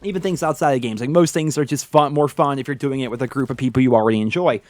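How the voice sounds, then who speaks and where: A man's voice: medium pitch, quick pace, a little mellow. A man with a head cold and a stuffy nose, hosting a casual gaming chat where he answers viewer questions with blunt, opinionated takes. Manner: candid, opinionated, frequent "like" and "man". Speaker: gaming YouTuber host.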